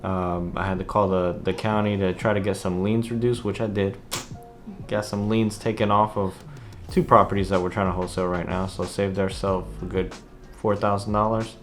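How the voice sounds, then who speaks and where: A man's voice: low voice, little pitch movement, moderate pace, soft background music. A man hosting a casual vlog, explaining things informally and stressing his key points. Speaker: vlog host, real estate investor. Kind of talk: casual vlog talk to camera.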